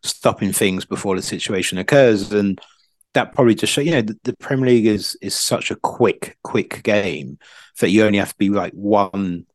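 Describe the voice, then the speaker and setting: A man giving casual football analysis as a pundit, haltingly, repeating words as he thinks. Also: lowish voice, dry recording.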